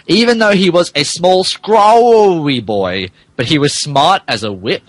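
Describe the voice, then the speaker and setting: A man's voice: on the high side, measured pace, slight background noise. A man narrating fan fiction as a comedic dramatic reading, stumbling over words and adding asides. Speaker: podcast host reading as narrator.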